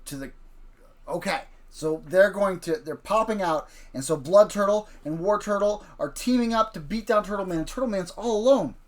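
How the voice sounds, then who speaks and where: A woman's voice: medium pitch, normal speed. A woman hosting a casual streamed storytelling session, setting the scene and questioning a child about his ideas. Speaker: host.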